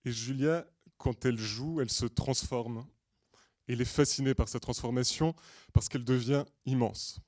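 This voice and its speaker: A man with a mid-pitched, dry-sounding voice delivers a prepared story pitch. He is from Portugal and speaks in earnest, deliberate phrases. Hesitant pauses break up his storytelling. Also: steady tone, darkish tone, dry recording. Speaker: screenwriter and director pitching a feature film. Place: screening room at a film school.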